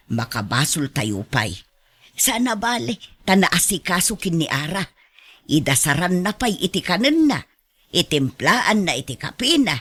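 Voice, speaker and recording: An actress with an Ilocano accent playing a contented, approving, chatty parent at home in a radio drama. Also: female voice, medium pitch, a bit bright.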